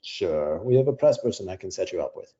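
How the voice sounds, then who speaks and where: A man's voice: low-pitched, animated, brisk, mellow, lo-fi sound. A man playing a polite, confident AI company spokesman in an audio play. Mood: polite, casual. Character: smooth-mannered, confident male AI company spokesman.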